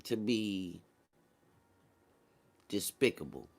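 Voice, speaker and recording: A man's voice: fairly low pitch, expressive, drawn-out words, creaky. A man hosting a livestream, giving casual political commentary to his chat in slangy, profane, conversational talk and repeating phrases for emphasis. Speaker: livestream host.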